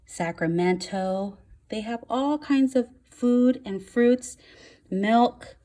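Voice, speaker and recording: A woman's voice: a little high, slow pace, mellow. A woman teaching first graders, reading aloud and explaining things in simple words with emphasis on key words. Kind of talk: read-aloud lesson for young children.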